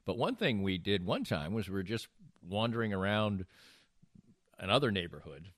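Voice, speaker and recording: A man's voice: deep voice, very animated, moderate pace, dry recording, studio quality. An older man hosting a casual podcast, telling anecdotes in a rambling way and restarting his sentences mid-thought. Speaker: podcast host.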